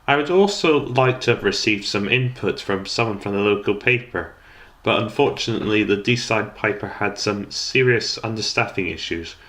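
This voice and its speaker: Young male narrator, slightly low voice, formal student-style scripted commentary, occasional stumbles over words. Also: moderate pace, fairly bright tone. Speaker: student documentary maker.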